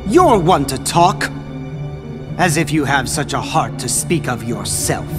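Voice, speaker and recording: A man's voice: a little high, animated, a little slow. A man playing a haughty, easily outraged villain scientist in a game cutscene, pompous and theatrical. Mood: scornful. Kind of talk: video game cutscene acting. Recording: scary music under the voice.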